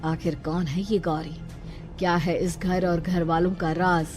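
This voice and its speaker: A woman's voice: fairly low pitch, flat delivery, a little slow. A woman narrating a scripted story in a dramatic storytelling style. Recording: music behind the voice.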